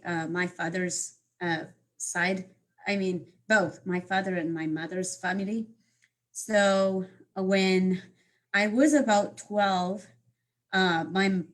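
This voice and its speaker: Woman, 30s, lowish voice, halting delivery with lots of fillers, guest speaker on a call. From Morocco. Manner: halting, many fillers and restarts. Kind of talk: personal life story talk.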